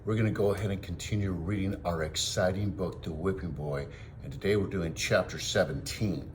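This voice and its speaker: Deep-voiced male teacher reading a storybook aloud. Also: a little hiss or hum. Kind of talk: expressive storybook read-aloud.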